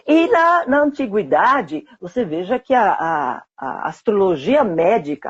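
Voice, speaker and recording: A woman's voice: mid-range voice, a little mellow, slightly rough, muffled recording. A woman, a psychotherapist guest explaining ideas in a conversational way, often checking in with little tag questions.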